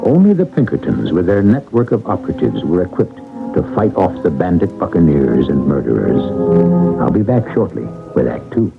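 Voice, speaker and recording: Deep-voiced male radio drama narrator. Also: huge pitch swings, moderate pace, vocal fry. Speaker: host and narrator. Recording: narrowband old radio broadcast, loud music.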